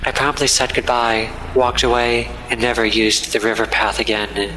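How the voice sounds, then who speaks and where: A man's voice: mid-range voice, flat delivery, normal speed, sharp tone, a little hiss or hum, rough recording. A man reading a scripted first-person horror story as its narrator.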